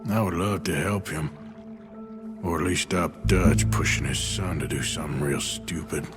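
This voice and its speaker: An actor with a Southern or Western American accent playing a weary, troubled, plain-spoken outlaw in a cinematic video game, with music behind him. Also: male voice, extremely low pitch, moderate pace. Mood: reflective.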